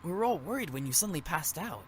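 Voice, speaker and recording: Boyish, lively young male demon. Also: low voice, fast, slight background noise. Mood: friendly concern. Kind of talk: visual novel voice acting.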